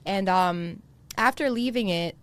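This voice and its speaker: A woman's voice: mid-range voice, a little slow, fairly bright tone, clear, resonant tone. A woman from Queens, New York, hosting a podcast interview in a chatty, playful, storytelling way. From Jamaica, Queens, New York. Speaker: podcast host. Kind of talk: casual interview chat.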